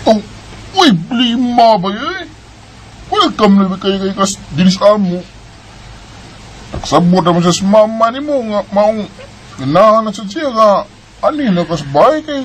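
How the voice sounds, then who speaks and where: A man's voice: sits high, a little hiss or hum, poor audio. A man voicing an easygoing young male friend offering a place to stay in a radio drama. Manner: casual, friendly.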